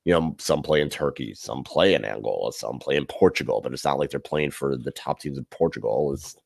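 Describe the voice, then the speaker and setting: A man's voice: extremely low pitch, a little fast, gravelly, husky, no room sound, clean studio sound. A man co-hosting a podcast, casually discussing football in long, loosely built explanations.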